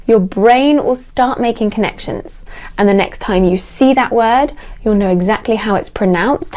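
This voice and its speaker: A woman English teacher explaining clearly to her viewers, stressing her key words. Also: medium pitch, darkish tone.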